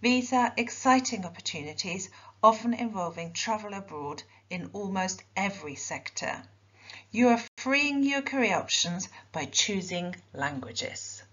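A woman's voice: mid-range voice, animated, unhurried, fairly bright tone, dull audio. Teacher woman presenting school curriculum. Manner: clear, explanatory teacher delivery. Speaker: subject leader for languages.

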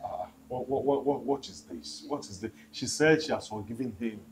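A man's voice: mid-range voice, normal speed, slight background noise, close to an everyday voice. A man with a Nigerian accent playing a distraught middle-aged father. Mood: bewildered.

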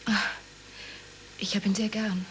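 Woman acting a young fiancée, guarded, terse, medium pitch, faint hiss, vintage radio drama. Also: level tone, unhurried, close to an everyday voice, poor audio. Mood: subdued. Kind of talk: 1970s German radio crime drama acting.

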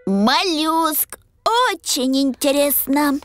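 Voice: high voice, slow pace, fairly bright tone, no room sound, clean studio sound, soft background music. A performer voicing a sleepy, curious little pony boy in a children's cartoon, with simple childlike delivery. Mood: fascinated.

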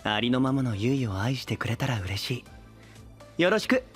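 A male actor playing a serene, lofty young idol in an audio drama, gentle and composed. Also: medium pitch, big pitch swings, normal speed, faint music behind, natural voice. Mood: graceful, welcoming. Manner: gentle, composed, refers to himself by name.

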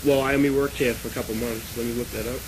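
A man prank calling as a stiff, solemn government agent, hesitant and deadpan, on a noisy phone call recording. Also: medium pitch, fast, lightly acted. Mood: deadpan, official. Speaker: prank caller.

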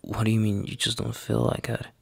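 A man playing a tender, anxious young boyfriend in a roleplay ASMR audio, husky and halting in his speech. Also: lowish voice, little pitch movement, no room sound, full character voice. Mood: pleading. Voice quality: husky, gravelly. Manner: halting, hesitant, soft-spoken, sighing.

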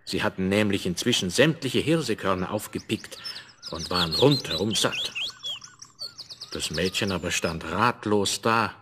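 A crackly seventies vinyl transfer carries a man's fairly low voice. He tells a fairy tale expressively and with measured phrasing, drawing the listener in. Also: expressive. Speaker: narrator. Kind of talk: scripted fairy-tale storytelling.

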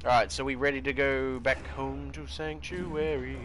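A man's voice: medium pitch, normal speed, slight background noise. A man in casual, playful chat while gaming online with friends. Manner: playful, animated, laughs often. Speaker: gamer.

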